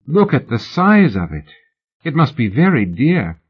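A man reading an audiobook, voicing a mild, gray-haired older lady who speaks gently and courteously, on a dull lo-fi recording. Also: mid-range voice, wildly swooping pitch, measured pace, husky, voice pushed up, exaggerated voice. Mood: musing.

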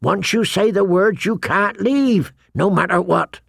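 A man's voice: sits high, measured pace, gravelly, a bit bright, no echo, studio quality, voice pushed up, heavily acted. A man voicing a stubborn young recruit bound by oath in an audiobook, firm and insistent.

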